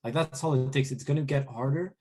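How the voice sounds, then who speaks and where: A man's voice: mid-range voice, fast talker, a little mellow, husky. A young man co-hosting a podcast in blunt, casual, slangy conversation. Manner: blunt, slangy, mild swearing. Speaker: podcast co-host. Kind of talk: casual chat.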